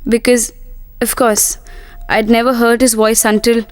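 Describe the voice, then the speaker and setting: A woman's voice: a little high, little pitch movement, measured pace, natural voice. An actress playing a witty, frank young woman who is hard of hearing, in scripted dialogue and reflective narration, with a wry manner. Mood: wistful, reflective. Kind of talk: scripted dramatic dialogue and first-person narration.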